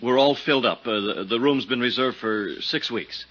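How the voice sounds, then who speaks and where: An actor playing a nervous, evasive small-town hotel manager who stammers and hesitates, in 1950s radio drama style. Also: male voice, medium pitch, normal speed, fairly bright tone, natural voice. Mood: flustered, insistent. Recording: dull 1950s radio recording.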